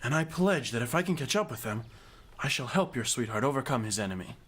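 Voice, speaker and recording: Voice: medium pitch, animated, fast, fairly bright tone, slightly rough. An actor playing an earnest, impulsive young male knight in an audio drama, exclamatory and courtly in phrasing. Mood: concerned, gallant.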